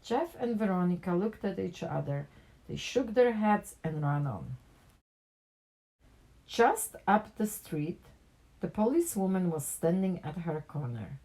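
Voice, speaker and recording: A woman reads a picture book aloud in an expressive storytelling style for children. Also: on the low side, measured pace. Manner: animated character dialogue with plain narration.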